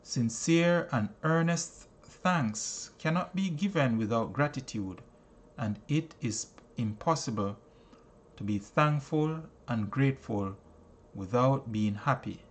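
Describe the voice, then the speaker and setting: A man narrating a self-help book in a solemn, deliberate, instructive way. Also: medium pitch. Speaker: audiobook narrator.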